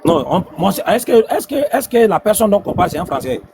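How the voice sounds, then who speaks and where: A man with a high voice argues in a heated religious debate, firing the same question again and again to corner his opponent. There is a clear hum of background noise behind him. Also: expressive, fast. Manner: insistent, repetitive questioning.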